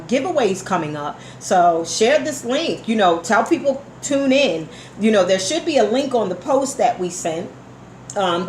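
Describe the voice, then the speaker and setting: A woman hosting a radio show in casual, effusive chat, speaking familiarly to listeners and repeating phrases for emphasis. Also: medium pitch. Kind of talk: casual live interview chat. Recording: echoey room with background noise.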